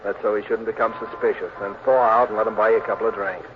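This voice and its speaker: An actor playing a smooth, bossy American gambler and swindler with slangy speech, in 1940s radio crime drama style. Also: male voice, fairly low pitch, fairly even intonation, fast talker, close to an everyday voice. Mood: smooth, persuasive. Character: slick menacing male big-time gambler and swindler. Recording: tinny noisy old radio broadcast.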